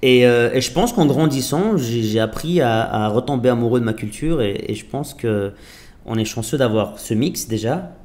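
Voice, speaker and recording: A man's voice: mid-range voice, lively intonation, moderate pace, a little mellow. A man who grew up in Belgium, hosting a casual podcast interview and speaking in an earnest, reflective, slightly hesitant way. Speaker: podcast host. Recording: roomy echo.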